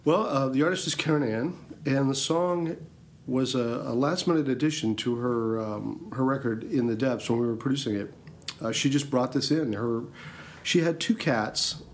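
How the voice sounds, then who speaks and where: A man's voice: mid-range voice, normal speed, slight background noise. A man who co-hosts a radio music show and works as a record producer, speaking reflectively with some hesitation. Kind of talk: casual radio interview.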